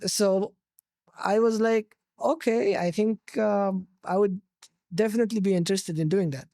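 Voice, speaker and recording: A man with a Saudi Arabic accent, telling his personal story conversationally as an interview guest, pausing to search for words. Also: sits high, steady tone, warm tone, no room sound, studio quality. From Jeddah, Saudi Arabia.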